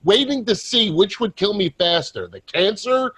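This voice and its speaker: A man playing the ghost of a desperate salesman in a staged play reading, speaking earnestly and haltingly with a husky voice. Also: sits high, animated, a bit bright, exaggerated voice, low-quality recording. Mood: grim, confessional. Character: desperate, pleading male ghost of a dead salesman.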